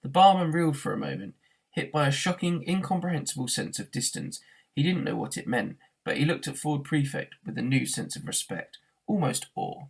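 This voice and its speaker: Husky, creaky male storyteller. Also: a little high, expressive, a little fast. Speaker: teacher reading a novel aloud. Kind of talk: expressive storybook narration.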